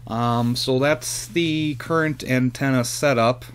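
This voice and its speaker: Slow, rambling man with a handheld camera. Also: medium pitch, steady tone, clear, resonant tone. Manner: rambling, hesitant with many fillers. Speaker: hobbyist vlogger. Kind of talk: casual show-and-tell. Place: outdoors in a front yard, then at a computer.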